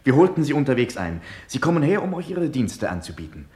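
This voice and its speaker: A man's voice: mid-range voice, big pitch swings, fast, warm tone, natural voice. An actor playing a deferential courtier bringing news to his prince in a classical radio drama. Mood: amused, deferential.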